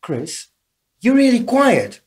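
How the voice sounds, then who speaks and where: A gossipy friend, very animated. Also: male voice, very high-pitched, full character voice. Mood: disapproving. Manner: blunt, chatty, drawn-out emphasis. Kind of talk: radio drama dialogue.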